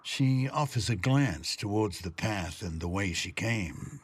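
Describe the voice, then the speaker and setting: An actor narrating a sci-fi audio drama in a brooding, gravelly, measured manner. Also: male voice, on the low side, lively intonation, slight background noise. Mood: somber, measured.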